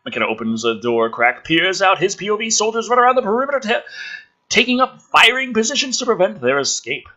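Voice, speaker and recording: Man, bright forceful voice, animated, sarcastic dramatic reading, exasperated swearing. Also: high-pitched, brisk, dull audio. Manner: theatrical, exasperated, foul-mouthed. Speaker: host of a gaming and film channel. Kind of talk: sarcastic dramatic script reading with ranting commentary.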